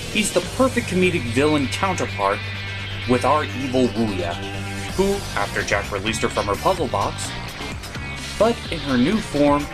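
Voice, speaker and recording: A man delivering scripted comedic review narration as a theatrical, opinionated show host. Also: medium pitch.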